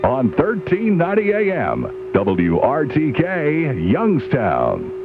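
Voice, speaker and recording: A dull radio recording with music under a man reading a station promo. He speaks slowly with a hugely animated pitch. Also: noisy background.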